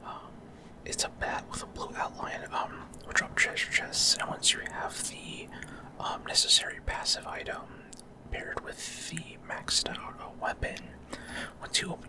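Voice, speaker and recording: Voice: normal speed, low-quality recording. A person whispering unscripted ASMR commentary over a video game, explaining things in a hesitant way.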